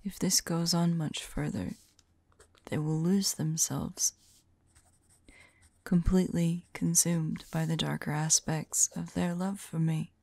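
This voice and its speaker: A lovelorn woman mage, played by an ASMR voice actress, murmurs anguished journal entries in a dark, mellow voice. Her delivery is hushed, breathy and mournful, with a creaky edge, recorded very dry and close. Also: deep voice, little pitch movement, no echo, heavily acted. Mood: anguished, despairing. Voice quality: soft, breathy, husky. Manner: hushed, halting, mournful, intimate. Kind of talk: slow dramatic monologue, ASMR audio roleplay.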